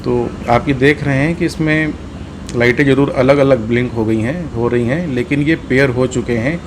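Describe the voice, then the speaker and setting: An Indian man hosts a practical gear tutorial in a medium-pitched voice. He explains each step in turn and goes back over the key points. Clear ambient noise runs steadily behind his speech. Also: normal speed. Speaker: host of a motorcycle gear tutorial. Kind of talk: hands-on product demonstration.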